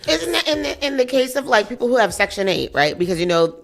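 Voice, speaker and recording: A woman's voice: a little high, very animated, fast talker, gravelly, faint music behind. A woman hosting a morning radio talk show, chatting and reading local news aloud with asides. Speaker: radio host.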